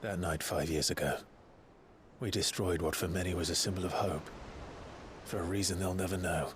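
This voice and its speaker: An actor playing a grave, resolute outlaw in a video game, speaking in a measured, solemn way. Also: male voice, sits low, fairly even intonation, noisy background, close to an everyday voice. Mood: solemn, reflective. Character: grave, resolute outlaw man carrying a heavy burden. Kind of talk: dramatic video game acting.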